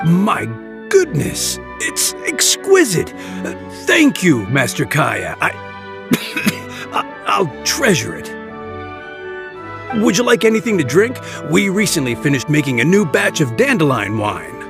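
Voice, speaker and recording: A courteous older butler in a fantasy game, his voice a bit gravelly and pitched slightly high. He speaks formally and lively, while game music plays behind him. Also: slow pace, exaggerated voice. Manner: formal, courteous, coughing now and then. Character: polite, dutiful older male butler. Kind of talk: video game cutscene dialogue. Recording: game audio with background music.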